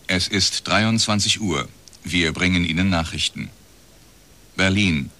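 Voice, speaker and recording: Deep-voiced, formal newsreader. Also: male voice, unhurried, pushed and bright, heavily acted. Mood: neutral, formal. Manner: formal, neutral, precise. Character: formal, measured male radio newsreader. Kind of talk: news bulletin reading in radio drama.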